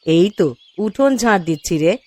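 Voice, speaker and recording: A woman's voice: lowish voice, lively intonation, brisk, a little mellow, dry recording, lightly acted. A woman voicing a dignified, principled village wife in a cartoon, firm and admonishing. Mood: firm, reproving. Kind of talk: cartoon story dialogue.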